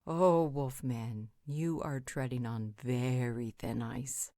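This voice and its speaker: A woman's voice: rumbling low, fairly even intonation, slow, mellow, dry recording, clean studio sound. A woman playing a playful, caring member of a supernatural pack in a roleplay audio drama, teasing and reassuring. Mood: sassy warning.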